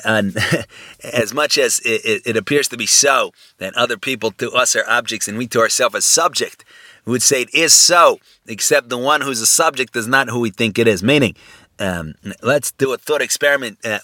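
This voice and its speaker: Halting, bright-voiced male lecturer. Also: mid-range voice, no room sound. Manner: halting, many fillers and restarts, thinking aloud; poses rhetorical questions, asks "right?". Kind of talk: unscripted philosophical lecture.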